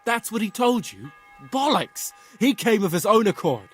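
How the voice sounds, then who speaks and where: An actor playing a combative medieval brawler in a video game, husky, blunt and coarsely mocking. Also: male voice, high voice, big pitch swings, moderate pace, a bit bright, soft background music, full character voice. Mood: scornful, mocking. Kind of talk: video game dialogue acting.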